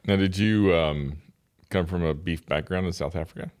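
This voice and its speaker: Deep-voiced male podcast host. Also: moderate pace, a little mellow, no room sound. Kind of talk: podcast hosting and interview.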